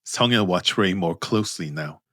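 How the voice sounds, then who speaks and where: A man narrating scripted fiction in measured, deliberate phrases. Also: sits low, bright, forceful tone, dry recording, studio quality. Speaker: narrator, author reading own story.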